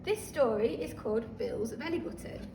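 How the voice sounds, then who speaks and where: A woman reading a children's story aloud like a teacher, expressive and talking listeners through the pictures. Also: on the high side, normal speed, vocal fry. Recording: roomy, distant microphone, faint noise.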